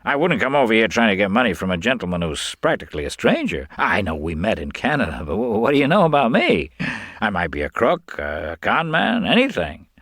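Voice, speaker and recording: An actor playing a smooth con man who feigns sincerity in folksy, earnest, confiding talk. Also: lowish voice, huge pitch swings, fairly quick, fairly bright tone, no room sound, clean studio sound, pitched above the performer's own voice, full character voice. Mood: earnest, frank.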